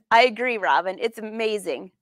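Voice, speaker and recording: A woman's voice: mid-range voice, animated, moderate pace, no room sound, clean studio sound. A middle-aged woman hosting a casual live craft demonstration, chatting informally and putting questions to her viewers. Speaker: host of a live sewing lesson. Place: sewing studio room, indoors.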